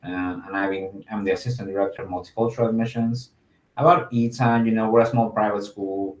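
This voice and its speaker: Low-voiced man in an echoey room. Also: fairly even intonation, fairly quick, a little hiss or hum. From Mexico. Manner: casual, upbeat, uses fillers. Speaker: admissions officer. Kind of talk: interview answers.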